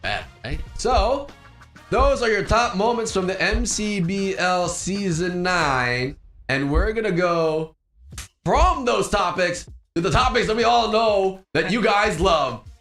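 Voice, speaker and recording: A man hosting a casual sports talk show, lively and hyping up segments. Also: high-pitched, slow, faint music behind. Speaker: host. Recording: echoey studio.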